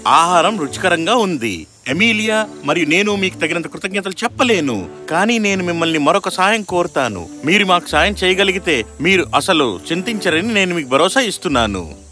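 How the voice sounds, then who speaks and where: A man acts out a courteous young husband on a storybook quest. His voice is high and lively, with formal, earnest phrasing. Soft music plays behind him. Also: normal speed, exaggerated voice. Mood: earnest, pleading. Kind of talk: dubbed children's fairy-tale acting.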